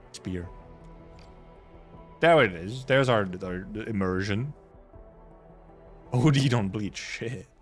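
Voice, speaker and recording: A man's voice: lowish voice, lively intonation, drawn-out words, pauses often. A man streaming a game, reacting casually with wry, offhand muttered asides. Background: faint game music.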